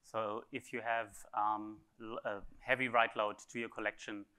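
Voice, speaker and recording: A man speaker answering audience questions after a technical conference talk, pausing often with fillers and restarts. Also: on the low side, flat delivery, bright, forceful tone, smooth. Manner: hesitant, many fillers and restarts.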